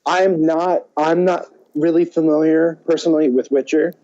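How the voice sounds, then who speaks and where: A man's voice: on the high side, fairly even intonation, moderate pace, dark tone. A man chatting casually as a podcast co-host, rambling and often restarting his sentences. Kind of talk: casual chat. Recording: dull lo-fi audio.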